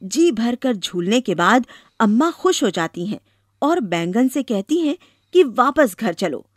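Female storyteller for scripted narration: measured slow pace, slightly high voice, dry sound. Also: sing-song, no echo.

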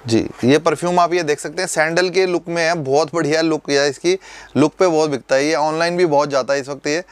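Polite shopkeeper man pitching perfumes. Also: fairly quick. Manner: polite, calls the host sir, steady salesman. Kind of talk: sales pitch. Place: indoor perfume shop.

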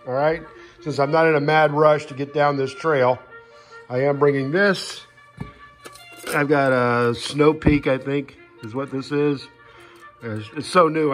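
A man giving a casual, unscripted gear walkthrough in a folksy, matter-of-fact way, recorded indoors on a handheld phone. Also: medium pitch. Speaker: hiker showing gear. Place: indoors in a home living room. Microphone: handheld phone camera, speaker behind it.

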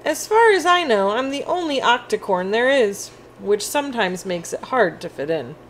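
A woman voicing a friendly, quirky, slightly lonely octopus-unicorn from a picture book, with an animated, childlike delivery. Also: on the high side, normal speed, a little hiss or hum. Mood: cheerful, boastful.

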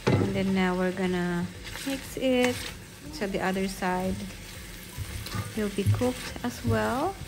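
Slow, easygoing woman narrating a home cooking video. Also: medium pitch. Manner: slow, unhurried step-by-step narration. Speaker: home cook vlogger. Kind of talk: cooking voice-over. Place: home kitchen, food sizzling in pan. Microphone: voice-over. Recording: audible kitchen noise behind.

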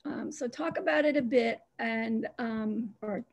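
A woman interviewing an artist, speaking haltingly with many restarts. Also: a little high. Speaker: interviewer, editor. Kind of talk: conversational art interview.

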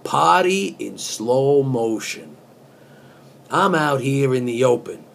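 A man in his fifties speaks slowly and deliberately in a medium-pitched voice, like a mock-serious poetry reading with casual asides. He is close to a webcam in a small room, with some faint noise behind him. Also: sing-song, vocal fry. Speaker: comic host reading song lyrics as poetry. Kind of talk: dramatic spoken recitation of pop lyrics with casual asides.

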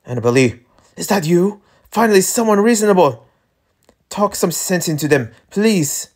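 Haughty gentleman captive, played by a game reader, exasperated and formal, animated pitch. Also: normal speed. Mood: desperate.

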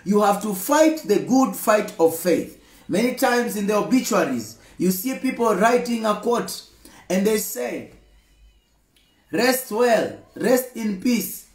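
High-voiced Kenyan preacher, echoey room. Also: male voice, expressive, takes it slowly. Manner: emphatic, repetitive, gesturing preacher. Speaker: pastor. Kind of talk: livestreamed daily devotional sermon. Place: indoor room with screen and flowers.

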